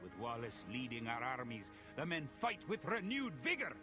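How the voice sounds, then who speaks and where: An actor playing a grave, resolute medieval Scottish soldier, narrating a war in a dramatic, theatrical storytelling style for a game cutscene. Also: male voice, mid-range voice, wildly swooping pitch, moderate pace. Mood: proud, stirring. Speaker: campaign narrator. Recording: music under the voice.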